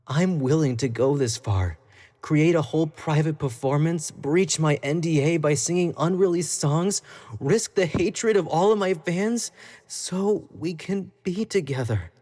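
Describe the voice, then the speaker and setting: A man's voice: fairly high pitch, measured pace, darkish tone. A man playing an obsessive, jealous young pop idol in a dramatic roleplay monologue, speaking intimately to the listener and swinging between sweet pleading and cold condescension. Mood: lovesick, pleading.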